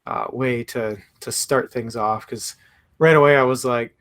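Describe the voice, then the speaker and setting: A man's voice: medium pitch, fairly even intonation, measured pace, a bit bright. A young man co-hosting a casual book talk, hesitant with many fillers and self-mocking humor. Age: young adult. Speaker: book podcast co-host.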